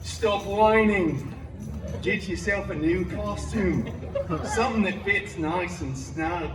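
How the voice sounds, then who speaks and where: A man voicing a gruff, impatient mutant fairy godparent who scolds curtly, in a live comic story. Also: high voice, slow, far from the mic, pitched below the performer's own voice. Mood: grumpy. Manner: curt, scolding. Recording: echoey PA outdoors, music and crowd.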